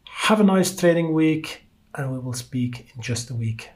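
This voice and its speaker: A man from Norway with a Norwegian accent, speaking English as a second language while hosting a solo stock-market podcast in a halting way full of fillers. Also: a little high, animated. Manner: halting, many uh fillers, loose non-native phrasing. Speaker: podcast host.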